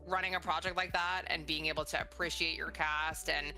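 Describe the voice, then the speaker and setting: A woman's voice: deep voice, fairly even intonation, fairly quick, bright, forceful tone, faint music behind, low-quality recording. A middle-aged woman interviewing in a conversational, encouraging and supportive manner.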